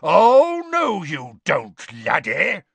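A man voicing a menacing old male wizard professor in a storybook reading, with a gravelly growl and blunt, barking delivery. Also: on the low side, sing-song, slow pace, pushed and bright, dry recording, exaggerated voice. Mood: barking, furious.

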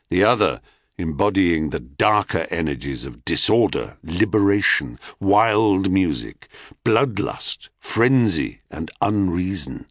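A man reading a scripted myth narration in an expressive, theatrical way, with wry, dry asides. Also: fairly low pitch, dry recording. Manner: wry, dryly witty asides. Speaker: audiobook narrator.

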